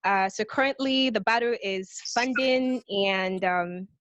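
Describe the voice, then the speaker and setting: A woman's voice: a little high, measured pace, no echo, lo-fi sound. Nervous, apologetic Nigerian woman. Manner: soft-spoken, apologetic, nervous. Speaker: applicant for a master's degree. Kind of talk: self-introduction on a video call. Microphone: video call.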